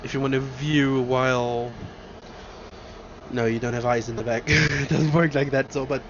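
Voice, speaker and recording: A man's voice: mid-range voice, steady tone, normal speed. A man giving a casual, rambling first-person travel review, thinking aloud inside an airliner cabin. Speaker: travel vlogger reviewing a flight. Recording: muffled with loud aircraft cabin noise.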